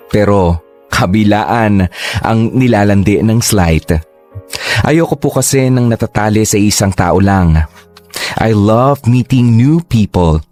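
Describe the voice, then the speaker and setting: Man, first-person narrator, lowish voice, confessional tone, scripted delivery, soft music behind. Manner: confessional, mixing Tagalog with English phrases. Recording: faint music underneath.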